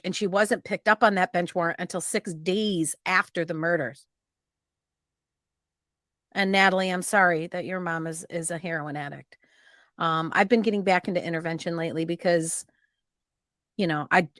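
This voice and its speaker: A woman's voice: on the low side, a bit bright, no room sound, clean studio sound. Earnest woman giving true-crime commentary. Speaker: true-crime show host.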